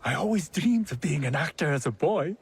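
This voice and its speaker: An actor playing a theatrical young samurai ally in a video game, jaunty and mock-formal. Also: male voice, on the high side, animated, brisk, breathy edge, no echo, full character voice. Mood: playful.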